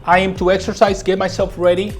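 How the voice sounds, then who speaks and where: A man's voice: high voice, little pitch movement, fast talker, soft background music. A middle-aged man from Mexico, a real estate agent telling his story in a casual interview, earnest and repeating phrases for emphasis.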